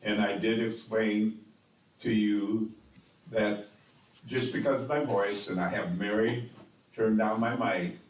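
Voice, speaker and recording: A man's voice: lowish voice, fairly even intonation, slow pace, long pauses, slight background noise. A man serving as mayor with a strong, carrying voice, speaking insistently and repeating words as he defends himself. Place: city council chamber. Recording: echoey council chamber, distant mic.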